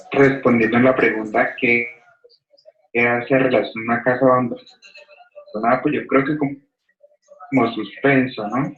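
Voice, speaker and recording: A man answering a question in a group Bible study, tentative and thinking aloud. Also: mid-range voice. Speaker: study participant. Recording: echoey, dull lo-fi audio.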